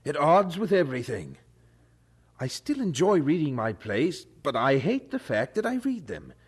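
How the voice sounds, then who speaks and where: A restless young Englishman in a radio-style audio drama. His voice is husky and rather high, swinging widely in pitch. He speaks with earnest intensity, like a son torn by his conscience. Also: big pitch swings, moderate pace. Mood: anguished, restless. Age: young adult.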